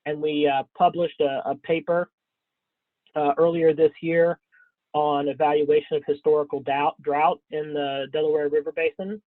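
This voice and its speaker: A man presenting slides in a webinar, scratchy from a cold, speaking haltingly with frequent ums and throat clearing. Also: fairly high pitch, flat delivery, dry recording. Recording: thin phone-like webinar audio, dry.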